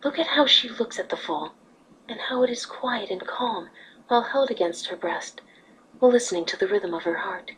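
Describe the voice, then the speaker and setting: A woman voicing a wise, motherly pony ruler in a fan fiction reading, speaking patiently and earnestly with a slightly husky voice. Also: mid-range voice, expressive, normal speed, fairly bright tone, a little hiss or hum, close to an everyday voice, rough recording. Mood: patient, questioning.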